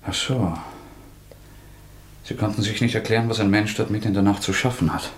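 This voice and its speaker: An actor playing a tormented, secretive murderer in a German radio drama, restless and suspicious, halting and breaking into agitated outbursts. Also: male voice, fairly low pitch, steady tone, close to an everyday voice. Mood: restless, evasive.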